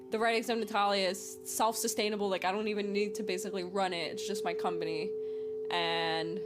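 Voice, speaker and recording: A woman in her twenties hosting a podcast, talking alone in a rambling, playful way and laughing often. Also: mid-range voice. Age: 20s. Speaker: podcast host. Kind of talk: casual solo podcast monologue.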